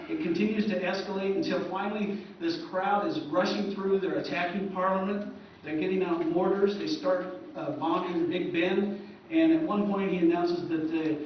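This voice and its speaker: A man giving an informal history talk at a convention, conversational and halting, recorded from far off in an echoey room. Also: high-pitched, dull audio, a little hiss or hum. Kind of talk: informal lecture.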